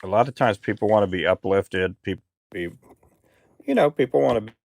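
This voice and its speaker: A middle-aged man who makes fishing lures, chatting casually on his live stream in a relaxed, rambling way with lots of fillers. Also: lowish voice, brisk, creaky, dry recording. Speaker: lure maker live streamer.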